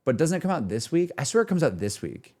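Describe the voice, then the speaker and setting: A man's voice: fairly high pitch, big pitch swings, fast talker, warm tone. A man streaming games who talks casually and candidly to his chat, rambling as he thinks aloud without a script. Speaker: game streamer talking to chat. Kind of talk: casual livestream chat, unscripted.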